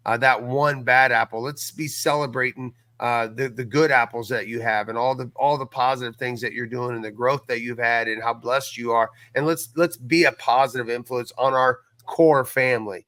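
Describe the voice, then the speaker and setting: A middle-aged man in casual podcast conversation, telling things in an earnest, folksy, animated way. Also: mid-range voice, normal speed, hardly any pauses, no echo. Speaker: podcast guest and audio series creator.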